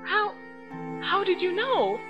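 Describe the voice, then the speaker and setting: A woman's voice: sing-song, takes it slowly, dull audio, music in the background, exaggerated voice. A woman voicing a young female candy-shop pony from a cartoon, fiery and easily flustered, in a fan story. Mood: shocked. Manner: stammering when flustered, shrill when angry.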